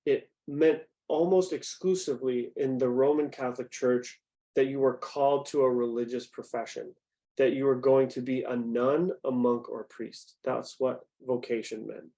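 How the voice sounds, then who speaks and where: A young man teaching a group, speaking in an even, middle-pitched voice. His talk is conversational and easy, and he keeps stopping to put questions to his listeners. He sounds like someone guiding a youth class on a video call. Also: normal speed. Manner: conversational, asks the audience questions. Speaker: youth program director.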